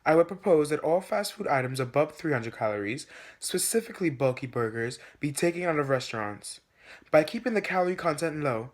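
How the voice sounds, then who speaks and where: A young man acts out a reasonable, earnest male presidential candidate in a student video. His voice sits slightly high. He delivers his positions formally and in a measured, prepared manner. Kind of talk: acted debate speech in a student video.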